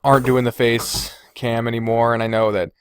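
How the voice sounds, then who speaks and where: A man's voice: medium pitch, level tone, fairly quick, clear, resonant tone, no room sound. A man chatting casually as a solo podcast host, self-deprecating and sweary, wandering off on digressions, recorded indoors on a USB microphone. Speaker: podcast host and video creator. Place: indoors in a bedroom. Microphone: Blue Snowball omnidirectional USB microphone.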